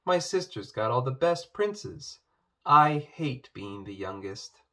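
A man voicing a spoiled, sulky young princess in a read-aloud storybook, with a high, whiny voice. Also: sing-song, takes it slowly, sharp tone, exaggerated voice.

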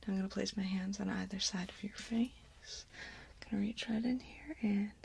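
A woman in her thirties doing a soft-spoken, partly whispered ASMR exam role play, giving slow, gentle instructions close to the microphone. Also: medium pitch, steady tone. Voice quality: breathy, whispery. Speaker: ASMR performer playing an examiner.

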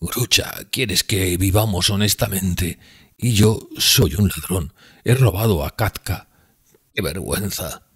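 A man voicing a guilt-ridden young man in love in an audiobook, speaking slowly and heavily. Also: deep voice, slightly rough, no echo, clean studio sound, pitched above the performer's own voice, exaggerated voice. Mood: ashamed.

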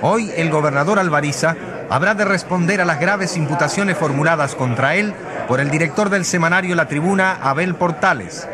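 An actor playing an earnest radio reporter giving suspenseful, articulate live commentary in a courtroom drama. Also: male voice, a little high, normal speed, close to an everyday voice. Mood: urgent, earnest. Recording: busy crowd behind the voice.

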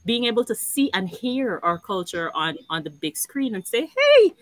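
A woman speaking earnestly on a video call panel, expressive and quick to laugh. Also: a little high, no room sound. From Guyana. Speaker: panel guest, animation network founder.

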